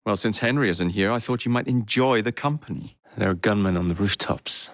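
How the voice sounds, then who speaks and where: An actor playing a cocky, witty young English gang leader in a Victorian video game, flippant and theatrical. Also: male voice, lowish voice, fairly quick, dry recording, clean studio sound, natural voice. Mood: playful. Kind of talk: video game character voice lines.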